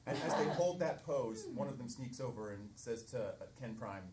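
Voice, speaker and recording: A woman's voice: a bass voice, animated. Woman improviser narrating on a noisy echoey stage. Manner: halting, piling up short phrases. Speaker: improv comedian. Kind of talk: improvised comic scene narration. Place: theatre stage, live show. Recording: distant echoey stage recording with noise.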